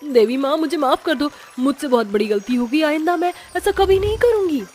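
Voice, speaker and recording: Woman acting a greedy daughter-in-law, high voice, suspicious, scheming, emphatic, storybook drama, music underneath. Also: lively intonation, fairly quick, darkish tone. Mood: pleading.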